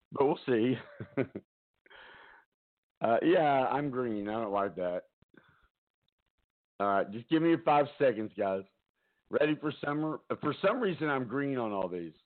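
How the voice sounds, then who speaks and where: A man hosting a casual talk stream from home, loud-voiced, rambling and chatty, often laughing, talking into a headset. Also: medium pitch, lively intonation, measured pace, pauses often, phone-quality sound. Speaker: talk show host.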